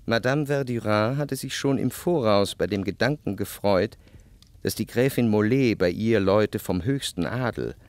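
A man narrating a literary radio play in the first person, measured and composed, in a dry studio. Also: on the low side, a little mellow, no echo, close to an everyday voice. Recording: dry close studio sound.

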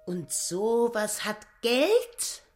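An actress playing a grasping, indignant married housekeeper in a radio drama, complaining and scolding in folksy phrases. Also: female voice, fairly high pitch, very animated, extremely slow, loud music behind the voice, full character voice. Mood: scornful outrage.

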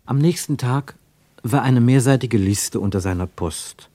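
A man narrating a literary radio play with measured, deliberate phrasing. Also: medium pitch, mellow, no room sound.

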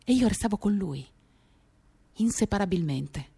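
A woman performing an expressive, theatrical first-person literary reading for radio, moving between animated and hushed delivery. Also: medium pitch, moderate pace, creaky, slightly rough. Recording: acoustic guitar accompaniment. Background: guitar music.

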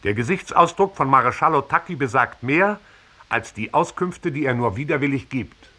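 An actor playing a formal newspaper reader in a radio drama, declaiming an editorial in official. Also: male voice, medium pitch, expressive, normal speed, pushed and bright. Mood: brisk, official.

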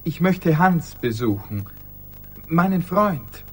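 An actor playing a nervous, polite, hesitant office clerk in a German radio play. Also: male voice, fairly high pitch, very animated, slow, husky, heavily acted. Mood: eager, calling.

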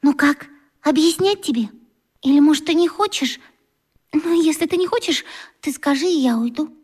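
A woman's voice: high-pitched, moderate pace, darkish tone, close to an everyday voice. A woman playing a small, eager, chatty schoolgirl of about eleven in a children's radio play. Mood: hesitant.

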